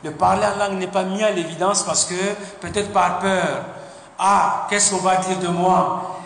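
A high-pitched man preaching in a church sanctuary, halting, repeating words and punctuating his points with amen. His voice rings with a long reverb through the hall, over a faint hiss. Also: fairly even intonation, creaky, a bit bright. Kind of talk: sermon. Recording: echoey hall with faint noise.

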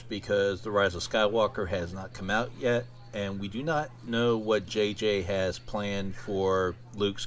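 A man hosting a podcast alone in a casual, conversational style, with somewhat halting phrasing. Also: fairly low pitch. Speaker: podcast host. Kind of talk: casual solo podcast talk.

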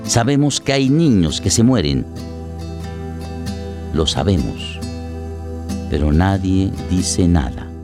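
A man narrates a radio drama in a fairly low voice. His scripted, dramatic delivery sits over clear guitar music. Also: lively intonation, slow, long pauses.

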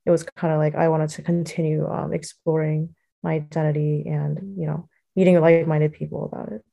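Mellow, creaky-voiced young American woman. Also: sits low, level tone, a little fast, lo-fi sound. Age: young adult. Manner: hesitant, loosely phrased, heavy use of like and you know. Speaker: interview guest, college student. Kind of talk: casual reflective interview answers.

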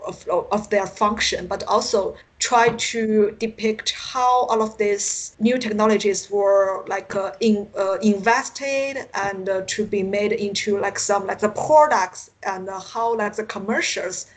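A woman from China with a Chinese accent, a native Mandarin speaker, answering interview questions haltingly over an internet call and often searching for words. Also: mid-range voice, rough recording. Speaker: science fiction author, interview guest. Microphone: Skype call.

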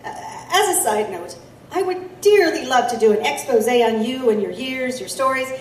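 A woman's voice: high-pitched, lively intonation, moderate pace, distant mic, slight background noise. A woman playing an eager, plucky reporter from 1889 in an audio drama. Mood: eager, grateful. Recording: echoey, slightly off-mic.

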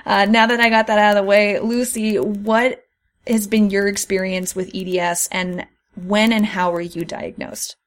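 A woman's voice: medium pitch, little pitch movement, normal speed. A woman speaking as a podcast host in a conversational interview style, asking and explaining.

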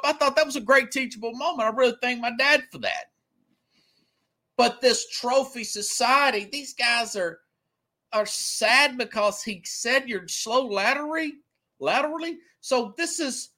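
Bright-voiced man in his forties talking sports. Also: very high-pitched. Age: 40s. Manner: conversational, anecdotal, emphatic. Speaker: sports talk show host. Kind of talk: casual opinionated sports commentary.

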